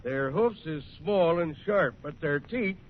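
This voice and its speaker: Slow-talking folksy old American ranger. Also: male voice, expressive. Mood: folksy, amused. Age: older. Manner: rustic, folksy, chuckling drawl. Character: folksy, jovial old frontier ranger spinning yarns. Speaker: storyteller. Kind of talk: 1930s radio western storytelling. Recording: tinny, noisy old radio recording with rumble.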